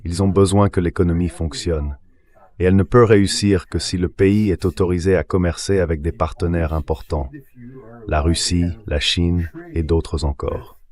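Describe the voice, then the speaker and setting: A man's voice: deep voice, steady tone, normal speed, a little mellow. A man reading a translated voice-over of political commentary, speaking assertively and emphatically, often with rhetorical questions. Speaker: interview guest, political commentator.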